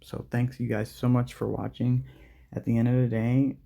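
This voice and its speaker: A man with a creaky, gravelly voice and a dark, relaxed tone. His pitch sits in the middle as he chats informally to viewers as the presenter of a demo. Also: normal speed. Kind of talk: casual vlog talk to viewers.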